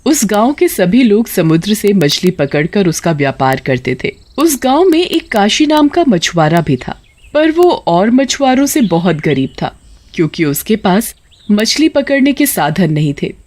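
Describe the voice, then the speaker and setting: A woman's voice: mid-range voice, very animated, unhurried, darkish tone. A woman narrator reading a scripted moral tale for children. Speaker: story narrator.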